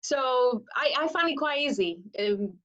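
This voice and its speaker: A woman answering interview questions in English, measured and thoughtful with some ums. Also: high-pitched, lively intonation, fairly bright tone. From Greece. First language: Greek. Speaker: guest translator. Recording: muffled call audio.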